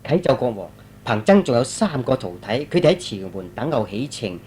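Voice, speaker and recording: Man acting a low, humble, calm monk. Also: a little hiss or hum, close to an everyday voice. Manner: formal, slow, deferential. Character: pious elderly Tang monk, humble and calm. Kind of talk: Cantonese radio drama acting.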